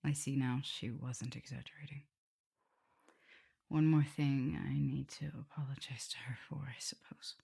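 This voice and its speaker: A woman playing a tender, guilt-ridden vampire mistress in an ASMR roleplay, speaking softly and haltingly, often in whispers. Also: a bass voice, fairly even intonation, exaggerated voice. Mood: remorseful, hushed. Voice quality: soft, breathy, often whispering. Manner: halting, hesitant delivery. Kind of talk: ASMR roleplay acting, intimate address to listener.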